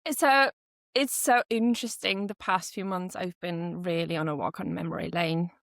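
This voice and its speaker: A woman's voice: lowish voice, steady tone, moderate pace, vocal fry, a bit bright, dry recording, studio quality. A woman in her thirties from Austria, a podcast guest telling her personal story in a chatty way, often laughing and restarting her sentences.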